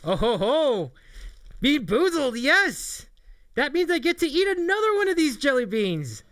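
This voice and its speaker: A man's voice: extremely high pitch, big pitch swings, a little slow, fairly bright tone, dry recording, studio quality. A man hosting a livestream interview show, chatting casually in an appreciative, encouraging way. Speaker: host of a streaming interview show.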